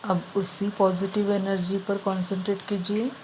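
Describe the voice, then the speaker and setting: A woman leading a guided meditation, giving instructions in short, measured phrases with long pauses between them. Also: mid-range voice, level tone, a little mellow, a little hiss or hum, poor audio.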